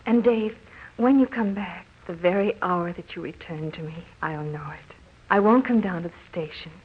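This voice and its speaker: An actress playing a devoted, romantic young sweetheart, speaking earnest and solemn vows in 1940s radio drama style. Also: female voice, on the low side, normal speed, breathy edge. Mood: tender, resolute. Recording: muffled old radio recording with music. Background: music.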